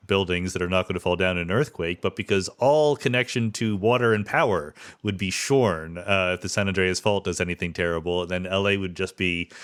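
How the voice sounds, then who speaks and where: A man's voice: low voice, a bit bright. A man hosting a podcast in a conversational science interview, informal and thinking aloud as he talks. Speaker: podcast host.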